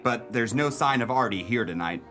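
A man's voice: medium pitch, expressive, moderate pace, over music, lightly acted. A man playing a wary, hard-boiled police detective in a 1930s pulp radio play, with wry tough-guy talk. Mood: wry, watchful.

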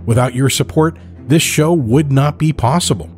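A man's voice: medium pitch, normal speed, darkish tone, faint music behind. A man reading a scripted introduction as the host of a podcast.

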